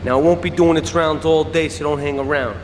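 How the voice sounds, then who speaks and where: A man's voice: on the high side, steady tone, brisk, close to an everyday voice. A man playing a cocky, bossy young mobster mechanic in a video game, with slangy New speech. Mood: businesslike. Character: cocky young Italian-American mobster mechanic handing out jobs. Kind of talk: video game cutscene acting. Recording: echoing hall, street and vehicle noise.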